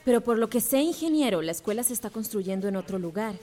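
A woman's voice: mid-range voice, animated, quick pace, warm tone, soft background music, lightly acted. An actress playing a determined young female schoolteacher, earnest and insistent, in a radio soap opera. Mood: firm, polite.

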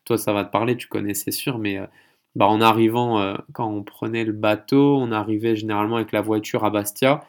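A man's voice: lowish voice, fairly even intonation, a little fast. A man hosting a casual conversational interview, speaking in a rambling, hesitant way. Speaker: podcast host interviewing a guest.

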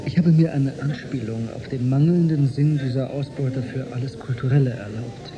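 A man's voice: mid-range voice, moderate pace, dull audio, music in the background, close to an everyday voice. A man playing a courteous, idealistic historian with a flirtatious streak in a German radio drama, gallant and slightly pedantic. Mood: earnest, indulgent. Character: courteous, flirtatious, idealistic male historian aboard a space station.

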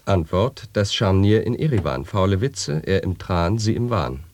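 An actor in a 1980s radio play, reciting absurd answers to a list of questions in a declamatory way. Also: male voice, sits low, expressive, normal speed, a little mellow, no echo. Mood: deadpan. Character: man answering absurd questions, matter-of-fact. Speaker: voice in a sound-poetry radio play. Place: dry radio studio.

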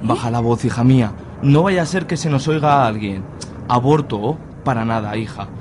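A man playing a pious, evasive conservative father in a comic radio play, hushing and easily flustered. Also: mid-range voice, moderate pace, background noise, close to an everyday voice.

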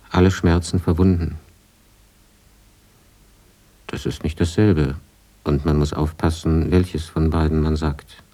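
A man's voice: low voice, mellow. An actor playing a brooding writer who reads his old travel diary in terse, reflective fragments in a radio play. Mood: sober, reflective. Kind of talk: radio drama diary reading.

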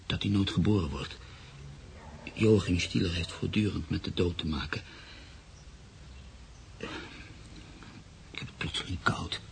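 Radio-drama male lead: anxious, brooding expectant father, deep creaky voice, sombre delivery. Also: normal speed, a little hiss or hum. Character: Freek, brooding anxious man, expectant father, narrating his story. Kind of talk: Dutch radio drama acting and narration.

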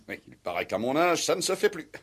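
An actor in a 1960s French radio play, playing a proud, eccentric ageing war veteran with a pompous, emphatic delivery. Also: male voice, a little high, sing-song, brisk, fairly bright tone, husky, heavily acted. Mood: dignified, wry.

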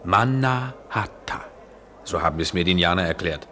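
An actor playing a restless, wry man travelling abroad, measured and poetic, in a noisy 1970s radio play recording. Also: deep voice, expressive, pushed and bright. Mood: playful, secretive. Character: restless, wry European traveller, aloof yet attracted man.

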